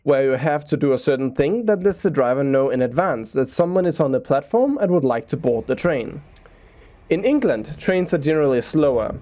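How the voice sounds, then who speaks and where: A man's voice: mid-range voice, a little mellow. A Danish man with a Danish accent, a native Danish speaker, talking in English as a transit vlogger. He explains things in an earnest, informal way. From Denmark.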